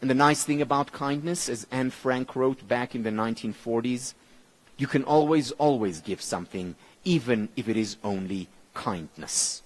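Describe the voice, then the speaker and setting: A man whose mother tongue is Hebrew gives a motivational conference talk in English, with measured, emphatic phrasing and deliberate pauses. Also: rough recording. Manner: lively, expressive pitch, dropping low on key words. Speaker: keynote speaker.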